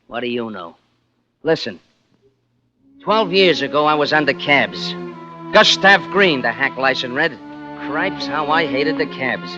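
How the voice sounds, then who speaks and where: A man's voice: medium pitch, expressive, unhurried, pauses often, music in the background, lightly acted. Man acting a bitter New cabbie, muffled old radio. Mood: bitter, reminiscing. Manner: slangy, wisecracking. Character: bitter, scheming working-class former cab driver turned gag writer. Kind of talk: 1940s radio drama acting, first-person narration and dialogue.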